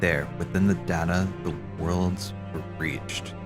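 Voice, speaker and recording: A man streaming casual, rambling theory commentary, thinking aloud haltingly, correcting himself and swearing casually. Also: sits low, fairly even intonation, over loud music.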